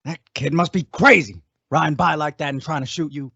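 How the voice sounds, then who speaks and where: A man's voice: fairly high pitch, brisk, creaky, bright, forceful tone, slightly rough, dry recording, full character voice. A man acting a loyal, worried deputy sidekick with folksy rustic speech in an old-time radio western. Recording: dull, dry lo-fi audio.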